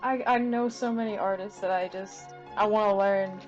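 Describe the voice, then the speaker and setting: A woman's voice: medium pitch, fairly even intonation. Woman, eager giggly chatter; dull lo-fi stream audio with faint music behind. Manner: enthusiastic, giggly, quick reactions. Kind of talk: casual podcast-style chat.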